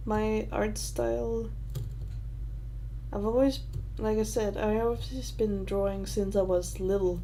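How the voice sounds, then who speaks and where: Young woman, Danish accent, livestream host; hesitant rambling chat, soft warm voice. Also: mid-range voice, fairly even intonation, slow pace, a little hiss or hum. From Denmark. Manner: rambling, hesitant, many fillers and restarts. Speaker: livestream host answering questions.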